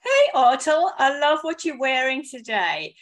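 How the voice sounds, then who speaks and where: A woman's voice: sits high, big pitch swings, a little slow. A woman with a South African accent, co-hosting an education podcast in an enthusiastic, emphatic way. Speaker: podcast co-host. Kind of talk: casual chat.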